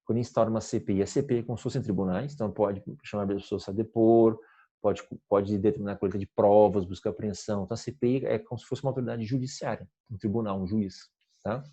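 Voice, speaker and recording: A man gives a recorded law lecture. His voice sits a little low, mellow and slightly husky. He explains carefully, repeats words as he restarts, and often checks that listeners are following. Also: steady tone, fast. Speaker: law professor.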